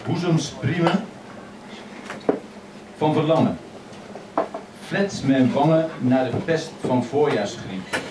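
A man reading his poems aloud in a measured, expressive way at a microphone in a crowded old café. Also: mid-range voice, recorded from a distance, noisy background. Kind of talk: poetry reading. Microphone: stand mic, filmed from across the room. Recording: echoey café filmed from afar, loud chatter.

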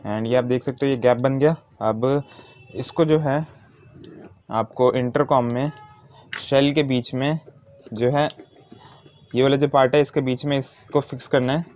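A man's voice: mid-range voice, a little mellow. A man giving an informal hands-on tutorial step by step, mixing in English words. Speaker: motovlogger giving a how-to demonstration. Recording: dull, muffled lo-fi sound.

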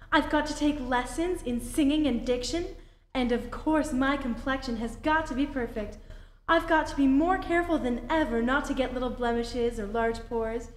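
A woman playing a high-voiced young starlet. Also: close to an everyday voice. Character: chatty young Hollywood starlet in radio advert. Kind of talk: old-time radio advert acting. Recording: echoey stage hall, faint noise.

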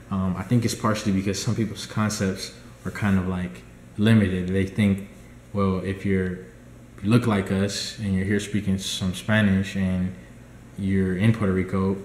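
A man's voice: sits low, reverberant, slight background noise. A young American man, a dietitian, giving a casual rambling vlog update from a small room at home, recorded close on a camera mic. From United States. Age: young adult. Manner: relaxed, rambling, many ums. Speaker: dietitian vlogger. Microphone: laptop or phone camera at close range.

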